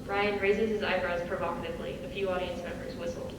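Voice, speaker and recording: Low-voiced woman narrator in a very echoey hall. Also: level tone, fast talker. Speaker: narrator reading stage directions. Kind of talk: scripted narration of a screenplay at a table read. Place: large reverberant room, recorded from afar. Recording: very echoey hall, far mic, clear noise.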